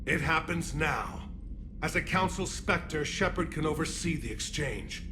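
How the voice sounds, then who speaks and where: A man's voice: fairly high pitch, lively intonation, unhurried, bright, forceful tone, soft background music. An actor playing a stern, impatient male alien military leader in a video game, curt, formal and authoritative. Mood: commanding.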